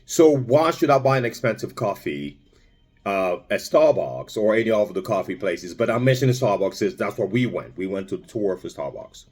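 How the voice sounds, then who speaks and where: A man's voice: fairly low pitch, expressive, normal speed, darkish tone, breathy edge. A man from Congo giving a rambling, casual vlog commentary with lots of fillers and restarts. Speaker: vlogger.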